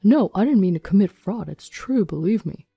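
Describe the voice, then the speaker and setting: A woman's voice: on the low side, normal speed, warm tone, slightly rough, faint music behind. A woman voicing a desperate, indignant cheating husband in an agitated, pleading way for a dramatic story.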